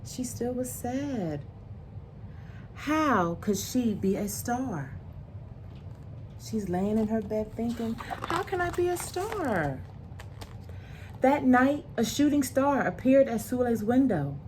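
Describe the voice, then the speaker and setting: A woman reading a children's picture book aloud as a storyteller, expressive and deliberate, with emphatic phrasing and soft asides to the listeners. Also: on the high side, slight background noise, rough recording.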